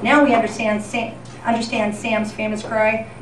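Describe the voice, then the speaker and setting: A woman with a somewhat high voice delivers a scripted tribute as if reading radio news. Her presentation is theatrical and comic. She is heard from a distance, with clear background noise in the room. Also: little pitch movement, moderate pace. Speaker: event presenter. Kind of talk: scripted comedic tribute narration, golden-age radio newscast format. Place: live event in a senior center room. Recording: echoey, noisy room recorded from far off.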